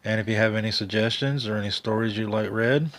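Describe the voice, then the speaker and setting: A man's voice: on the low side, fairly even intonation, quick pace, a bit bright. A middle-aged man casually introducing and signing off a story show as its host. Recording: music playing behind the voice. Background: background music.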